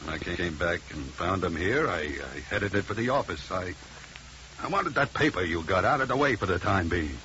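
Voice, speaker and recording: Deep, tough, cynical oil man. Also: lively intonation, moderate pace, pushed and bright. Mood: tense, defensive. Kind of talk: 1950s radio drama acting. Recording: dull, hissy 1950 radio recording.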